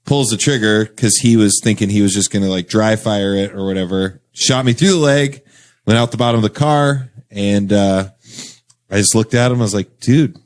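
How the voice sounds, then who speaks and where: A man's voice: fairly low pitch, normal speed. A young man telling a long story in a casual chat as a podcast guest, rambling with lots of fillers and laughing as he goes. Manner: rambling, filler-heavy, laughs through stories.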